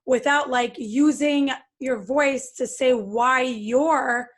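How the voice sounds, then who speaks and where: A woman with a high, light voice hosting a podcast. She encourages her guest and asks long, winding questions in easy conversation. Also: slow. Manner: supportive, asks rambling questions. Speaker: podcast host. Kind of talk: conversational interview.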